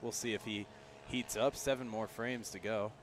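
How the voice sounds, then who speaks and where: A man's voice: on the low side, fairly even intonation, moderate pace, a little hiss or hum. A man giving live analytical color commentary on a baseball broadcast from an outdoor ballpark.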